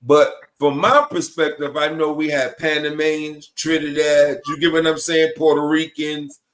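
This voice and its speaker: Slightly high-voiced man in casual chat. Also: little pitch movement, normal speed. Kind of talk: casual chat with street slang.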